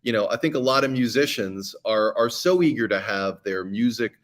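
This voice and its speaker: A man's voice: lowish voice, expressive, normal speed. A man speaking as a guest on a podcast, chatty and giving advice with frequent ums and restarts. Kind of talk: casual podcast conversation.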